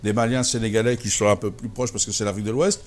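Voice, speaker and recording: Fast-talking male interview host. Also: lowish voice, steady tone. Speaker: talk show host. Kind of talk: conversational interview.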